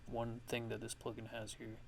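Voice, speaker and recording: A man narrating a casual software tutorial as a music production host, speaking haltingly as he thinks aloud. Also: mid-range voice, monotone, gravelly, a little hiss or hum, low-quality recording.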